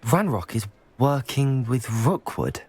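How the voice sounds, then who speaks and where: A young actor playing a brave wizard student in a game, polite and earnest. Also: male voice, mid-range voice, big pitch swings, slow pace, darkish tone, slightly rough, exaggerated voice. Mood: calm.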